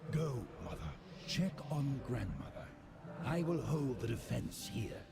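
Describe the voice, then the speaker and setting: A man voicing a steadfast dragon son on guard in a fantasy video game, firm and deliberate. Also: mid-range voice, sing-song, creaky. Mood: resolute. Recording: game dialogue with noisy ambience.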